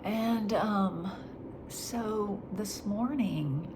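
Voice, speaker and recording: A woman talking in a reflective faith vlog, soft and halting, her voice nasal after crying. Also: medium pitch. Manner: soft and halting, slipping into whispers. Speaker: vlogger. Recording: steady background noise.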